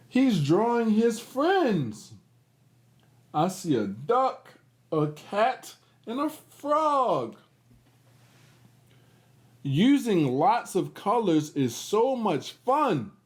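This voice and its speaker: Male kids' read-aloud host: very lively intonation, slow clear delivery for little ones, encouraging, chatty asides. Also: high-pitched. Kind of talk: animated read-aloud for young children.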